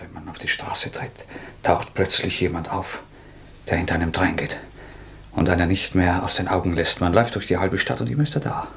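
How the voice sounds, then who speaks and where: An actor in a German radio play playing a haunted, taunting fugitive murderer, halting and erratic in his delivery. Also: low voice, creaky. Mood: brooding, menacing. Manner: erratic, halting, needling, swinging from muttering to agitation.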